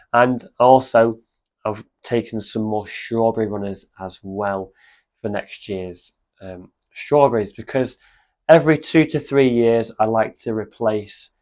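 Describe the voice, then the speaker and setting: A man with a cold and a slightly croaky voice, chatting casually in a gardening vlog. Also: fairly low pitch. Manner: chatty, relaxed, with many fillers. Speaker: allotment vlogger. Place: outdoors at an allotment.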